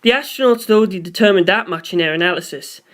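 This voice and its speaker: A man's voice: sits high, fast, a bit bright. Man narrating a first-person story.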